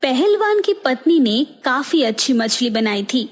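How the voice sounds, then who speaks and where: A woman narrates a scripted moral story for a cartoon. Her delivery is slow and measured, and her voice sits a little on the high side. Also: sing-song.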